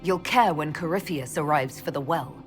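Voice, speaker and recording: An actress playing a composed, persuasive military leader in a video game, speaking in a firm, measured way. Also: female voice, sits low, soft background music, lightly acted. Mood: urgent, firm. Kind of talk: dramatic video game dialogue acting.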